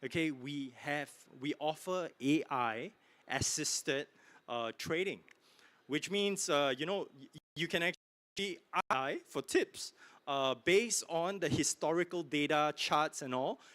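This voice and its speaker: A man giving a keynote sales pitch in a conference hall, pushy and full of fillers, asking "right?" over and over. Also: a little slow, a bit bright. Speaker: keynote speaker. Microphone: handheld mic.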